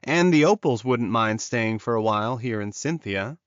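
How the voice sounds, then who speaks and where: A man's voice: medium pitch, lively intonation, normal speed, no echo, lo-fi sound, close to an everyday voice. Man voicing an earnest, lovelorn male werewolf. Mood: reassuring. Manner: earnest, urging. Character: lovelorn, encouraging male werewolf urging his beloved onward. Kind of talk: audiobook character dialogue.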